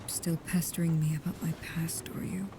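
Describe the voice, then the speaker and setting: A woman playing a guarded, protective vampire with a painful past, speaking slowly, softly and hesitantly, often in whispers, in an intimate ASMR roleplay. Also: sits low, flat delivery, lightly acted. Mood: wary, hurt.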